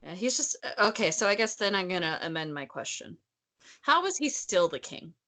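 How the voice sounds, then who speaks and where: A woman's voice: fairly low pitch, very animated, fairly quick, sharp tone. A woman co-hosting a casual book discussion, talking in a blunt, emphatic, sarcastic way. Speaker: podcast co-host discussing a novel.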